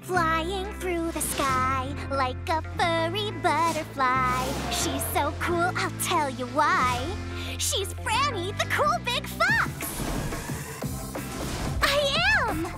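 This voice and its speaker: Very high-pitched little girl fox cub. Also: expressive, slow, heavily acted. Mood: proud, singsong. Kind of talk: children's cartoon dubbing. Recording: music bed under the voice.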